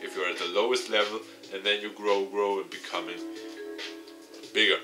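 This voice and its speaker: A man with a fairly low voice does a let's play, reading game text aloud and breaking off for his own comments. His delivery stumbles now and then. Guitar music plays clearly behind him. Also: fairly even intonation, normal speed. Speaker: gaming YouTuber.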